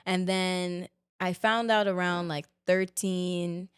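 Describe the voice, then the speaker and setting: A woman's voice: on the low side, fairly even intonation, takes it slowly, smooth, no room sound, clean studio sound. A woman from the Bahamas telling her own story as an interview guest, earnest and grateful in a casual way. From Nassau, the Bahamas. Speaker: interview guest, musical theater dancer.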